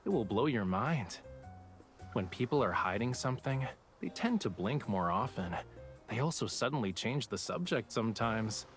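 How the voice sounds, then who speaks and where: A man's voice: medium pitch, expressive, moderate pace, soft background music, lightly acted. An actor playing a playful young male love interest in a romance game, talking in a coy, teasing drawl.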